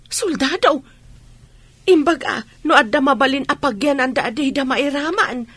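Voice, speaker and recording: A woman playing a worried, fretting village mother in a radio drama, with a husky voice. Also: high-pitched, moderate pace, a bit bright, no echo. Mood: anxious.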